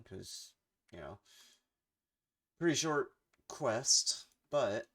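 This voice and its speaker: A man's voice: mid-range voice, gravelly, a bit bright. A man giving casual, rambling livestream commentary as he explains the video games he plays. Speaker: video game streamer. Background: game music.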